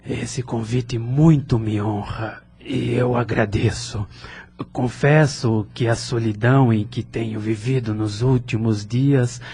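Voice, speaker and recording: An actor with a Brazilian Portuguese accent playing a polite, lonely man in an audio drama, speaking in a measured way. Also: mid-range voice, fairly even intonation, a little mellow, slightly rough, full character voice. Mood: sincere. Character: Luciano, lonely, polite man moved by hospitality.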